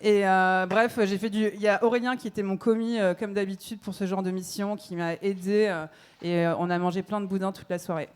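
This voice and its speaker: A woman's voice: mid-range voice, steady tone, a little fast, smooth. Chatty Belgian-raised woman in her thirties. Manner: chatty, laughs often, many fillers. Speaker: dating show contestant. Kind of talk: casual chat.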